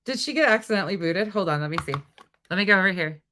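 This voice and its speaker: A woman's voice: medium pitch, rapid-fire. A middle-aged woman from North Dakota with a light North Dakota accent, hosting a casual livestream and talking bluntly with plenty of swearing. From North Dakota, USA. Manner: blunt, profane and animated. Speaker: livestream host. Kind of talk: casual livestream chat reading comments.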